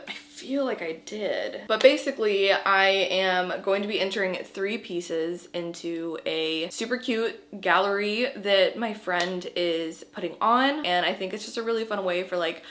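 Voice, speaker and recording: A woman's voice: medium pitch, a bit bright. A young adult woman chatting casually to her camera in her art studio, bubbly, with lots of filler words. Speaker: artist vlogger. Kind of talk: casual vlog chat. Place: home art studio, indoors. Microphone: handheld camera.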